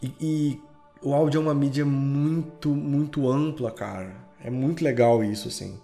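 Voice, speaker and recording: A man's voice: medium pitch, fairly even intonation, normal speed, vocal fry, dark tone, soft background music. A Brazilian man in a casual interview chat, talking as a podcast guest in an enthusiastic, slangy, rambling way. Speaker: podcast guest, audio drama creator and editor.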